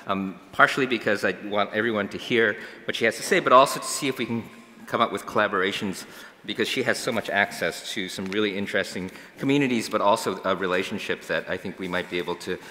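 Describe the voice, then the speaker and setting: A man's voice: on the low side, sharp tone. A middle-aged man on a stage introducing a guest speaker, hesitant with many fillers. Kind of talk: informal introduction. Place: auditorium stage. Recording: hall reverb with faint noise.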